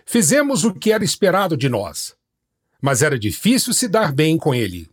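A man with a fairly high, husky voice and a lively pitch. He speaks earnestly and frankly about his time as a missionary guest. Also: normal speed. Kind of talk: personal storytelling.

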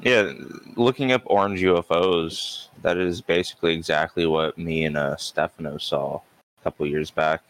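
A male podcast host with a very low voice. He asks his questions calmly and at an even pace. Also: normal speed, no room sound. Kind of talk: casual podcast interview.

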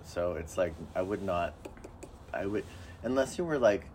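Deep-voiced man over steady background noise. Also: moderate pace. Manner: blunt, wry, filler-heavy. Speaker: podcast co-host. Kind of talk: casual chat.

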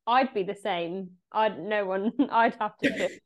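A woman hosts a casual sports interview, supportive and ready to laugh with her guest. Her voice sits at a middle pitch. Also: measured pace. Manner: encouraging, laughs along. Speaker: podcast host.

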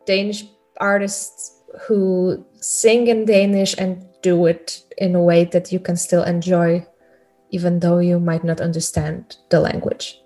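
Podcast co-host, woman, measured and reflective, hunts for words. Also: on the low side, level tone, warm tone, faint music behind, poor audio. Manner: thoughtful, searching for words with fillers. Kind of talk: casual chat about music.

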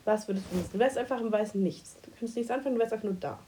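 A woman's voice: medium pitch, very fast, noisy background. A woman in her twenties with a cold and a blocked nose, chatting casually as a podcast co-host and asking playful questions. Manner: playful, asks quirky questions, laughs often. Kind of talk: casual chat.

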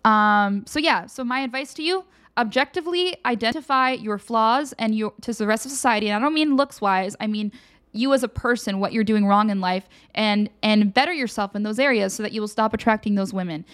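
A woman's voice: fairly high pitch, brisk, fairly bright tone. A woman hosting her own live show, chatting casually and candidly with viewers and handing out frank advice. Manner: candid, advice-giving, loose and conversational. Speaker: host of a livestream show.

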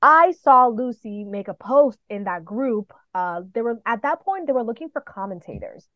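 Woman, podcast guest, casual animated storyteller, playful, close dry room, dull lo-fi audio. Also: on the high side, moderate pace, no echo. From New York City and the Dominican Republic. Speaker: podcast guest, professional wrestler. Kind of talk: casual interview chat.

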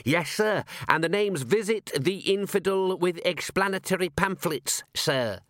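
A man's voice: sits high, a little slow, a bit bright, no room sound, clean studio sound, pitched above the performer's own voice. A man voicing an earnest, eager police constable in an audiobook, deferential, formal and pedantic.

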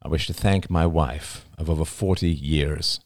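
A man voicing a devout, hearty elderly father, speaking in a measured, declaiming way for a story. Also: very deep voice, steady tone, darkish tone, no room sound, clean studio sound. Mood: solemn, reverent. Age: older.

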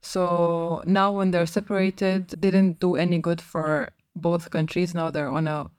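A young woman from Sudan speaks with a fairly low voice, recorded close with a dry sound. She explains her story thoughtfully as a podcast guest. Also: level tone, a little slow, a little mellow, clean tone, no room sound. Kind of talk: casual interview chat.

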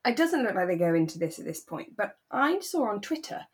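A woman co-hosting a podcast in relaxed, reflective, conversational chat. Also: mid-range voice, brisk. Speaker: podcast co-host. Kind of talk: relaxed casual chat about a radio drama.

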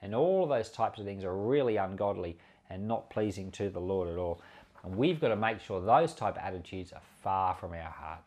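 A man's voice: deep voice, expressive, moderate pace, a little mellow. A man giving an informal sermon commentary on scripture as a pastor, earnest and conversational, leaning hard on key words. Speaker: pastor and Bible teacher.

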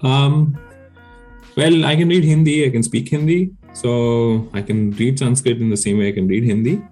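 A man's voice: medium pitch, fairly even intonation, moderate pace, warm tone, soft background music. A man whose first language is Telugu, a guest researcher explaining his work in casual podcast chat, hesitant with many fillers and restarts.

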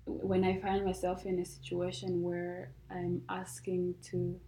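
Young woman, low gravelly voice, unhurried, hesitant guest. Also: completely flat delivery, slight background noise, rough recording. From Rwanda. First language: not English. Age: young adult. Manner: soft-spoken, hesitant, many fillers and restarts. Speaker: guest, college student. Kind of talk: casual conversation.

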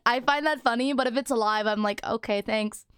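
A woman's voice: a little high, normal speed, a bit bright, clean tone, no echo, clean studio sound. A young woman hosting a casual chat, rambling with lots of fillers and laughing often. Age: young adult. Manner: giggly, rambling with many fillers. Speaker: host.

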